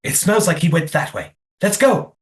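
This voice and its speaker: A woman's voice: lowish voice, animated, moderate pace, background noise, close to an everyday voice. A woman playing a prim, eccentric master of a manor in a comic audio play, with a mannered, theatrical delivery. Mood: alert, intrigued.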